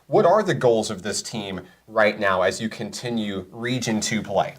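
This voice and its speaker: A man's voice: fairly low pitch, lively intonation, moderate pace, breathy edge. A man hosting a college sports broadcast, interviewing a player with a polished announcer delivery.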